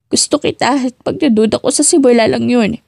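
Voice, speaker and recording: Woman voicing a distraught, pleading young female agent, high-pitched. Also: fairly quick, creaky, darkish tone, dry recording, studio quality. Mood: tearful, pleading. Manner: panicked, pleading, emphatic.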